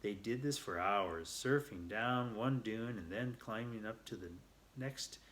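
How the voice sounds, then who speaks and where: A man telling a children's story aloud, reading a little haltingly and repeating words as he goes. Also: fairly low pitch, fairly bright tone.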